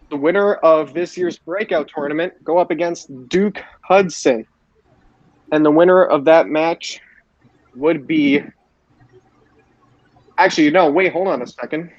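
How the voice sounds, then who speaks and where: Higher-voiced man recapping wrestling, dull audio. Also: a little slow, long pauses, no echo. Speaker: podcast host. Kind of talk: recapping wrestling shows for co-hosts.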